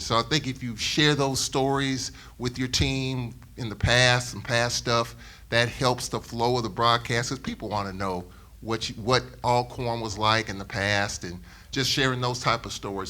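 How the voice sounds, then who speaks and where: A man's voice: mid-range voice, bright, forceful tone. A middle-aged man, a radio sportscaster speaking off the cuff on a panel, emphatically and with repeated phrases for emphasis. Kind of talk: unscripted panel talk.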